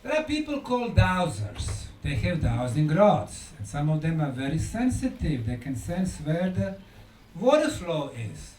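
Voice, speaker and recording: A man giving a conference lecture in an emphatic, deliberate way, repeating key words for effect. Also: a little high, very animated, darkish tone. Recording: echoey room, distant mic, faint noise.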